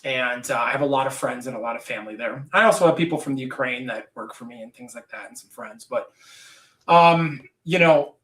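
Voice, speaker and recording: A man's voice: mid-range voice, brisk, a bit bright. Man in his forties, echoey and distant. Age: 40s. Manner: earnest, rambling, with frequent restarts. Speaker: business owner. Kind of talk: candid podcast conversation. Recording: roomy echo, distant from mic.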